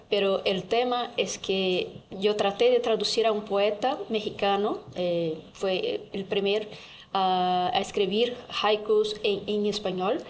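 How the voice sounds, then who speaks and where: A woman's voice: mid-range voice, a little hiss or hum. Brazilian-accented woman, reflective and thoughtful. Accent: Brazilian Portuguese. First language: Portuguese. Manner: reflective, tag questions. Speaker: translation professor. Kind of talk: panel talk. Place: university auditorium.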